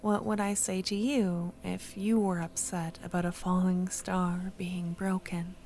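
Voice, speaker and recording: A woman playing a tender, wistful young childhood friend in a soft-spoken, breathy ASMR audio roleplay, often close to a whisper. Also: on the low side, little pitch movement, slight background noise. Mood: sad, wistful. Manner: slow, tender, hesitant.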